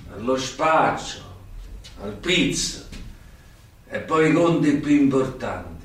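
An actor playing a bitter, remorseful old mob informant with a southern Italian accent, confessing in weighty, halting, theatrical phrases for radio theatre. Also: male voice, lowish voice. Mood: grim, confessional. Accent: southern Italian, Campanian. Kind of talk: theatrical radio drama acting. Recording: very echoey noisy room, distant from microphone.

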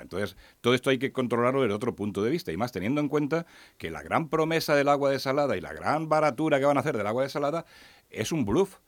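Fast-talking man from La Mancha. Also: lowish voice, no room sound. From Ciudad Real, Spain. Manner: lamenting, emphatic. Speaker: panelist. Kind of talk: radio debate commentary.

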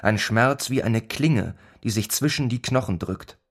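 An actor narrating a grimly comic village tale in a literary radio play, in a rhythmic, repetitive manner. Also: male voice, lowish voice, lively intonation, measured pace. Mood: grim, insistent. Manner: incantatory, repeats phrases rhythmically. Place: studio radio play production.

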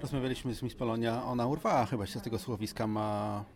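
A man's voice: mid-range voice, fairly even intonation, fast. A man hosting a podcast with casual on-site interviews, playful and prone to repeating himself. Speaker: podcast host. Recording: busy crowd behind the voice.

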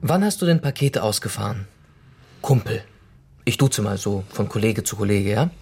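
An actor playing an embittered young delivery worker in a radio drama, sarcastic, provocative and colloquial in a naturalistic way. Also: male voice, lowish voice, animated, normal speed, gravelly, a little mellow, a little hiss or hum. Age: young adult.

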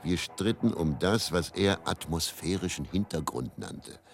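An actor playing a bitter, world-weary travelling faith healer and quack in a radio play monologue, musing and theatrical. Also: male voice, deep voice, expressive, measured pace, vocal fry, heavily acted. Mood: wry, reminiscing. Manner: brooding, musing, repeats words, theatrical shifts between murmur and outburst.

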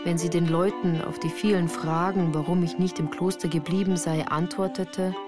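An actress playing a sensitive woman recalling her youth, narrating a literary radio drama in a measured, reflective way. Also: fairly low pitch, steady tone, over music, lightly acted.

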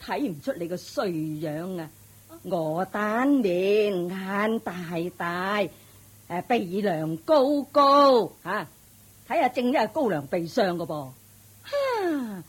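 An actress playing a harsh, scolding elderly mother-in-law in a radio drama, with a husky, snapping, scornful voice. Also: mid-range voice, sing-song, slow, exaggerated voice. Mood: furious. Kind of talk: Cantonese radio drama acting.

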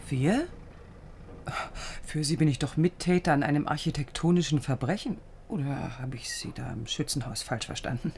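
An actress playing a proud, sharp-tongued young woman architect who probes and challenges in radio drama dialogue. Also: low voice, moderate pace, slight background noise. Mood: wounded, argumentative. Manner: challenging, probing, teasing.

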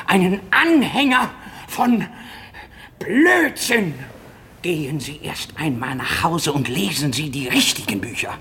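An actor playing a haughty, scornful professor who blusters with contempt in a radio drama. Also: male voice, fairly high pitch, pushed and bright, slight background noise, full character voice.

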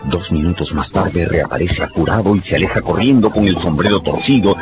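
Deep-voiced dramatic male narrator. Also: fairly quick, dull audio, over music. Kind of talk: dramatic scripted story narration.

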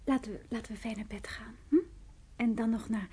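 Radio drama role: adoring, easily amazed lover, highish and extremely breathy, tender and gushing. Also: female voice, fairly even intonation, fairly quick, warm tone. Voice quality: very breathy, husky. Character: affectionate, wonderstruck woman, Louis's tender lover. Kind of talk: Dutch radio play, intimate dialogue.

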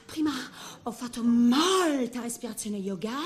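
A woman's voice: fairly high pitch, lively intonation, measured pace. An actress playing a jealous, vindictive middle-aged Frenchwoman with a French accent in a theatrical comic monologue, volatile and unhinged. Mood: brittle self-justification. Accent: French accent in Italian. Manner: volatile, swinging from hushed menace to shrieks.

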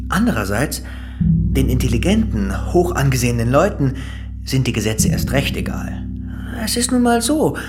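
An actor playing a menacing, self-assured gang boss in a radio play, speaking in a deliberate, mocking and controlled way. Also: male voice, medium pitch, sing-song, natural voice. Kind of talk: German radio play acting. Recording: loud guitar music under the voice.

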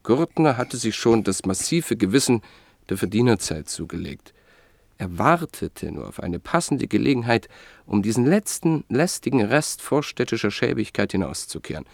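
Gravelly, solemn male radio narrator. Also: fairly low pitch, big pitch swings, no echo, studio quality. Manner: measured, solemn storytelling.

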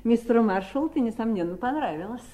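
An actress playing a society woman in a radio drama, expressive and questioning. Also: on the high side, fast, lightly acted. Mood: teasing, admiring. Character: society woman in conversation with her sister-in-law. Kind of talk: radio drama stage acting.

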